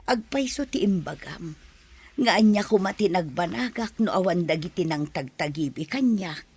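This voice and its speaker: A woman playing a repentant village woman in a radio drama, with a husky voice. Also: lowish voice, animated, normal speed, darkish tone.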